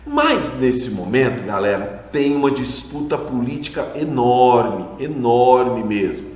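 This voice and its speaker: Chatty teacher in an echoey room. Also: male voice, medium pitch, very animated, slow, a little mellow. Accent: Brazilian Portuguese. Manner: chatty, asks questions back, frequent "né?" tags. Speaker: history teacher. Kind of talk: casual video lesson. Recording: echoey room with long reverb.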